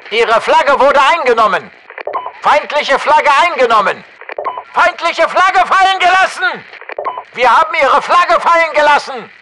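A man's voice: extremely high pitch, sharp tone, a little hiss or hum, full character voice, low-quality recording. A man playing an alert soldier who gives clipped status reports over a military radio in a video game.

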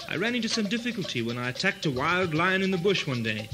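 A man's voice: high-pitched, very animated, fast talker, over music. A man playing an aggrieved, pompous adventurer telling his past in a comic radio melodrama. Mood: solemn, weary.

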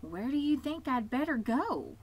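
A woman's voice: high voice, sing-song, unhurried, slightly rough, pitched above the performer's own voice, heavily acted. A woman reading aloud, voicing a lonely, bored young male pig who talks plaintively. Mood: unsure.

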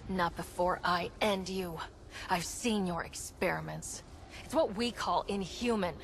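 A woman's voice: fairly low pitch, measured pace, gravelly, a little hiss or hum. An actress playing a defiant young woman who leads space explorers in a game, speaking in a direct, wry way. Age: young adult. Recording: music underneath.

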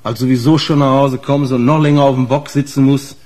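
Husky, slangy trucker played by a man. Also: mid-range voice, fairly even intonation, fairly quick, darkish tone, natural voice. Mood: sour, grumbling. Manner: colloquial, blunt, slangy. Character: gruff male truck driver, slangy, wary informant. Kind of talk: naturalistic German radio crime drama.

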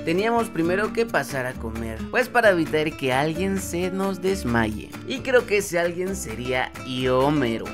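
A man's voice: a little high, very animated, normal speed, hardly any pauses. A man with a Mexican accent narrating a travel vlog voice-over in an informal storytelling way. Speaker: motovlog narrator. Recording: loud guitar music over narration. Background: guitar music.

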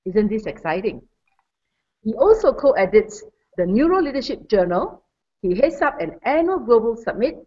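A woman's voice: fairly high pitch, big pitch swings, normal speed. A woman moderating a webinar, giving a formal introduction of a guest speaker and reading out his credentials. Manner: warm, formal, reads credentials. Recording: dull lo-fi webinar audio.

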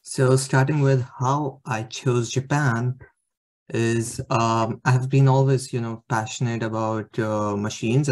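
Brief young male student from India, mid-pitched, halting presenter on a video call. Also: a little mellow. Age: young adult. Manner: hesitant, many fillers. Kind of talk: slide presentation talk. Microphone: webcam on video call.

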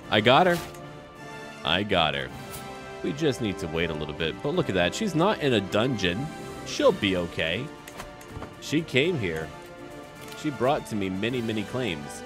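A man giving let's play commentary on a strategy game, talking to himself and addressing his characters as their ruler. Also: sits low. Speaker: video game player and narrator.

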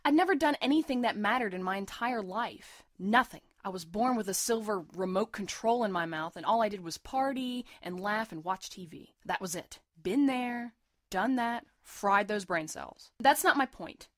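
An actress in an audio drama playing a defiant, sarcastic, fiercely protective young medical student, blunt and emotionally intense. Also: female voice, mid-range voice, lively intonation, normal speed, no echo, clean studio sound, close to an everyday voice. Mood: earnest, self-mocking. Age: young adult.

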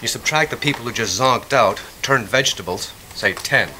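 A practical survivor in a radio drama. His bright, forceful voice is matter-of-fact and methodical with a wry edge, over faint tape noise. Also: mid-range voice, normal speed. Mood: calculating, urgent. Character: practical, calculating man sizing up a siege. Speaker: actor in an audio drama. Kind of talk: naturalistic radio drama acting.

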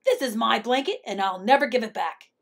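A woman voicing a selfish, whiny male coyote in a storybook tale, with loud, dramatic pleading and yelping. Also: mid-range voice, lively intonation, moderate pace, creaky, husky, full character voice. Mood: defiant, boastful.

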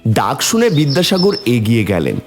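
A man's voice: medium pitch, huge pitch swings, moderate pace, a little mellow, soft background music. A man narrating a scripted story in a dramatic storytelling style.

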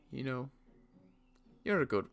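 A young man playing a weary survivor in a Minecraft roleplay, talking in halting bursts with sighs and restarts. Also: mid-range voice, creaky. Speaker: roleplay player and channel host.

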